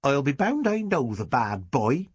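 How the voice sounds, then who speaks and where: A man voicing a rough-spoken country mother, scolding in broad rustic dialect. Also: medium pitch, big pitch swings, measured pace, no room sound, highs cut off, voice pushed up. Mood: indignant.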